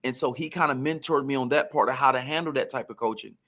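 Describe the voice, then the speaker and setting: A man's voice: medium pitch, fast, sharp tone. A man, a former pro football receiver, telling career stories on a podcast in a reflective, emphatic way.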